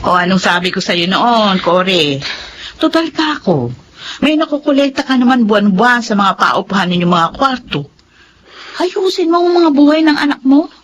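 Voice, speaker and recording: Lively, scolding Filipino mother. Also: a little high, normal speed, a little hiss or hum. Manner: scolding, expressive. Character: exasperated, outspoken mother and scolding relative. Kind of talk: Filipino radio drama acting.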